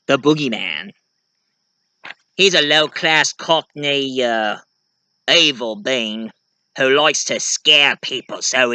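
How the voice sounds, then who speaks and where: A man talking casually and ramblingly about stories he wrote, halting and repeating phrases as he goes, with an animated storyteller's way and the odd "eh" tagged on. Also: medium pitch, clear, resonant tone, dry recording. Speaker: video creator and amateur writer.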